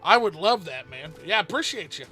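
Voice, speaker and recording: A man's voice: sits high, sing-song, a little fast, over music. A man hosting a casual game livestream, rambling as he reads out viewer chat and answers it. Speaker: streamer host.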